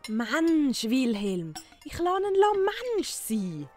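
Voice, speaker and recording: A woman's voice: sits high, sing-song, drawn-out words, darkish tone, soft background music, heavily acted. A woman playing a teasing, nagging wife and embarrassing mother in a comic audio drama, scolding with a Swiss German accent. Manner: scolding, provoking.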